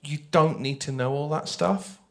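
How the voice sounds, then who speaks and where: A man talking informally to an audience as a writer on a panel, animated and conversational, often checking in with questions. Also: on the high side, normal speed, gravelly. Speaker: audio drama writer on a panel.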